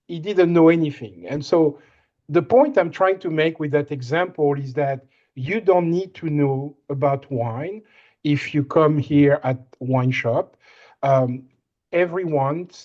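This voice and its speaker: A man's voice: a little high, a little mellow, highs cut off. Man with a light French accent. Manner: hesitant, many fillers and restarts. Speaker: winemaker. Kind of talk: casual presentation on a video call.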